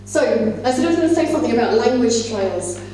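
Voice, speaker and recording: A woman's voice: fairly high pitch, expressive, quick pace, dark tone. A woman speaking at a conference as a teacher trainer, explaining things to fellow teachers in a conversational way. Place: large indoor conference room. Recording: echoey hall, distant mic, faint hum.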